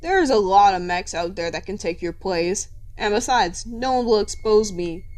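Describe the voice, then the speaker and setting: A woman's voice: mid-range voice, lively intonation, moderate pace, a little mellow, lightly acted. A woman playing a cheerfully menacing male robot villain who taunts with false friendliness in a story reading. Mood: smug menace. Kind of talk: amateur dramatic fan fiction reading.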